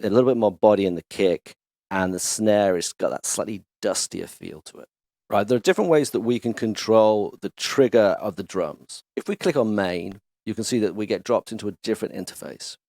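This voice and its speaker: A man hosting a casual music production tutorial, chatty and enthusiastic as he walks through software instruments in his home studio. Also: on the low side, fairly quick, dry recording. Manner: relaxed, chatty, enthusiastic demonstrator. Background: instrument demo music.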